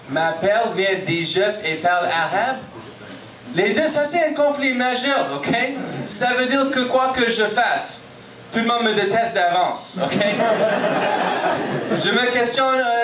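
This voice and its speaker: Anglophone Montreal comic, high voice, roomy bar. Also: male voice, recorded from a distance, noisy background. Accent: English-speaking Montreal. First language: English. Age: 30s. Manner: self-deprecating, punchy, frequent "ok?" check-ins. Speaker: stand-up comedian. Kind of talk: stand-up comedy set. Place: comedy bar stage.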